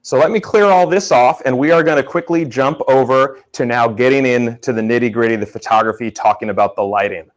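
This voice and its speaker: Young man, loud and casual, walks viewers through product shots live; mid-pitched voice. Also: fairly quick, hardly any pauses. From Florida. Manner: casual, upbeat, talks to chat. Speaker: photography instructor. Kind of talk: live workshop demonstration.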